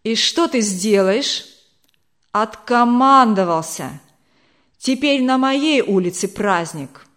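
A woman voicing a bitter, defiant alcoholic husband in an audiobook, with slow, emphatic, dramatic delivery. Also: fairly high pitch, expressive, a bit bright. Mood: gloating.

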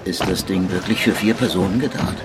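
A man's voice: low voice, brisk, noisy background, close to an everyday voice. An actor playing a dogged male police detective in a radio crime drama, probing and dryly ironic. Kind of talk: radio play dialogue.

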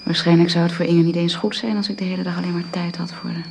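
An actress playing a modest, earnest twenty-one-year-old nanny in a Dutch radio drama, speaking politely and carefully. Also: female voice, lowish voice, flat delivery, fast talker, a little mellow, lightly acted. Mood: hesitant, polite. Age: young adult. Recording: faintly hissy old radio recording.

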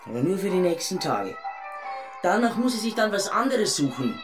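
A woman's voice: lowish voice, very animated, normal speed, over music. An actress playing a stern, grudging middle-aged Munich mother in a German radio drama.

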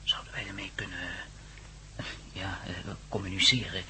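An actor playing an excitable, curious layman in a radio drama, hesitant and repeating his words. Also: deep voice, moderate pace. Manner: hesitant, stammering repetitions. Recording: faint background noise and hum.

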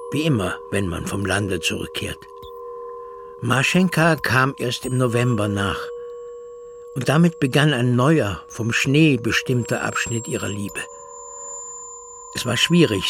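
A man reading scripted literary narration in a husky, creaky voice. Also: medium pitch, noisy background.

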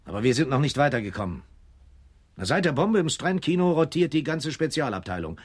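An actor playing a wry, seasoned police captain with a dry manner in a 1970s German radio crime drama. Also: male voice, on the high side, very animated, a little fast. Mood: matter-of-fact.

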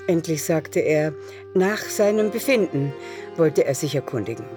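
A woman's voice: sits low, lively intonation, a little slow. Woman narrating a literary radio play. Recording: music behind the voice.

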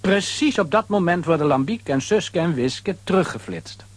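A man's voice: fairly high pitch, lively intonation, moderate pace, background noise, lightly acted. A man narrating a scripted audio drama for children as its storyteller.

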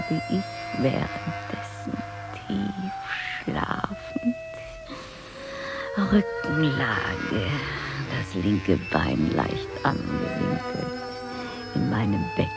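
A dreaming woman murmurs her inner monologue in a radio play, musing and wry. Her low voice swings widely in pitch and dips into creak, slow and deliberate in its phrasing. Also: darkish tone, exaggerated voice.

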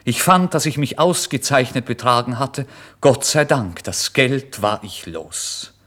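Ein Schauspieler spielt einen mittellosen, fiebrig aufgewühlten jungen Mann, der seine Geschichte in einem deutschen Hörspiel eindringlich als Ich-Erzähler vorträgt. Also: male voice, medium pitch, sing-song, a little slow. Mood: feverish, exalted. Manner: intense, brooding, swinging between whispers and loud outbursts. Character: destitute, feverish young man confessing his story, tormented, exalted. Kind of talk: dramatic first-person literary narration in a German radio play.

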